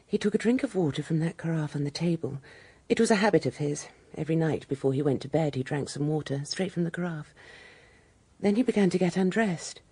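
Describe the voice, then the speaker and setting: Husky widow in a radio play, poised n alluring, really expressive pitch swings. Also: female voice, dry recording. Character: poised, alluring widow suspected of poisoning her husband. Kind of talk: radio drama acting.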